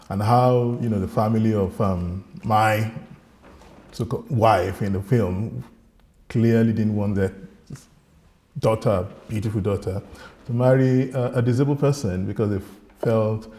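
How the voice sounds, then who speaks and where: Low, mellow man reflecting. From Nigeria. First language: Yoruba. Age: middle-aged. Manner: earnest, reflective, pauses and restarts. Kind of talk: personal talk.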